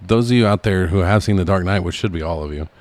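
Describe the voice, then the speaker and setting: A man's voice: low voice, fast, a little mellow, dry recording. A man co-hosting a podcast, chatting casually about movies with frequent chuckles and swearing. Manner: chuckles often, profane. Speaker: podcast host.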